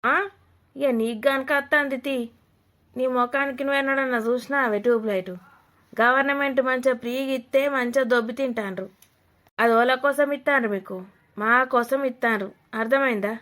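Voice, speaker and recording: A woman's voice: high-pitched, fairly even intonation, fairly quick, a bit bright. A woman playing a blunt, nosy older village mother-in-law with a Telangana Telugu accent, scolding and mocking in a comedy cartoon. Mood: chatty, bossy.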